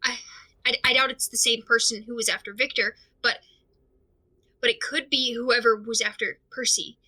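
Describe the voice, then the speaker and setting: A woman acting in an audio drama as an anxious, overwhelmed amateur investigator, talking through worries alone in a halting, stammering, rambling way. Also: fairly high pitch, fairly even intonation, bright, forceful tone, rough recording. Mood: anxious, reasoning. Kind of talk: audio drama monologue, recorded diary.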